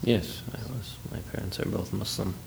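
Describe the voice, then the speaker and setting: Very deep, gravelly-voiced man. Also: steady tone, moderate pace, a little hiss or hum. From Turkey, raised in Chicago. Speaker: interviewee. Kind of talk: casual interview.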